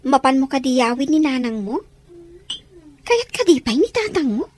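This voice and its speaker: A woman's voice: high voice, big pitch swings, moderate pace, slight background noise. A woman playing a frank, questioning acquaintance who gives advice in an Ilocano radio drama, with an Ilocano accent.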